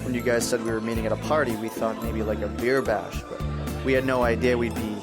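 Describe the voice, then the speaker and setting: A man's voice: mid-range voice, little pitch movement, brisk, over loud music, natural voice. An actor playing a pushy, volatile young startup founder in an audio drama, with combative salesman patter. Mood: eager, pitching.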